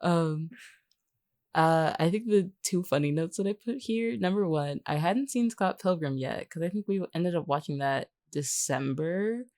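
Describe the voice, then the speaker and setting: A woman's voice: lowish voice, unhurried, darkish tone, dry recording, studio quality. A woman chatting casually as a podcast co-host, rambling and teasing as she goes. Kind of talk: casual behind-the-scenes podcast chat.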